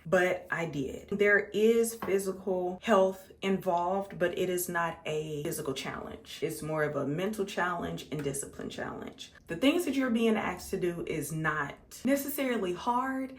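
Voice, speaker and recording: A woman's voice: fairly low pitch, a little slow, hardly any pauses. A 35-year-old American woman chatting casually and conversationally to her viewers as a makeup vlogger, repeating words for emphasis. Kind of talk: casual chat to viewers while doing makeup.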